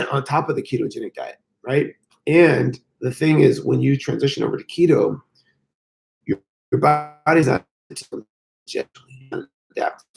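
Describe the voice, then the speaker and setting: Male fitness coach, hoarse and gravelly, casual rambling live-stream chat, mid-pitched voice. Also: a little slow, long pauses, rough recording. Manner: rambling, frequent fillers. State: hoarse, losing his voice.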